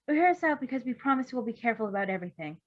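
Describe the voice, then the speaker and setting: A woman's voice: fairly high pitch, sing-song, racing, pitched above the performer's own voice. A woman reading the part of a dry, exasperated superhero man who speaks precisely and formally in a story. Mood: measured, confident. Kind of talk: amateur fan fiction character reading. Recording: dull lo-fi recording.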